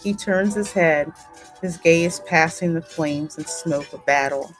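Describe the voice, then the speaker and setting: Female game host and narrator: deep register, measured pace, dramatic storytelling delivery with conversational asides. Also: soft background music, poor audio. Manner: deliberate, dramatic reading. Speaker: gaming channel host and narrator.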